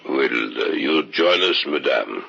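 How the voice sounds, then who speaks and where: An actor playing an ominous host in an old radio horror drama, with a very deep, gravelly, rasping voice. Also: male voice, animated, slow, bright, forceful tone, full character voice. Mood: courteous. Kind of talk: 1940s-50s American radio horror acting. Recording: muffled old radio recording.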